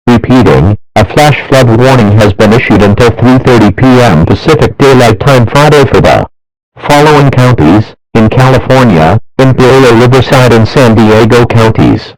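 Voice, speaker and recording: A synthetic male voice reading a scripted emergency weather warning in a formal, measured, impersonal manner. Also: mid-range voice, rough recording. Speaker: weather alert announcer.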